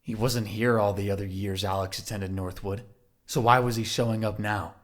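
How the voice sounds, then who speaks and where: A man's voice: fairly low pitch, steady tone, normal speed. A man narrating an audio drama from a script.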